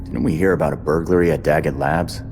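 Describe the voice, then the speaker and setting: An actor playing a gruff male vigilante hero in a video game, muttering dry, sardonic quips. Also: very deep voice, steady tone, quick pace. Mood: dry, watchful. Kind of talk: video game character dialogue. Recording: loud music behind.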